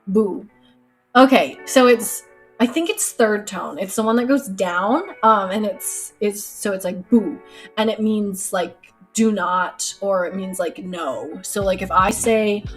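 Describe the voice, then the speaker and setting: A woman's voice: mid-range voice, slow. A young woman streaming live while she paints, chatting casually with lots of fillers, her nose stuffed up. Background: soft lo-fi music.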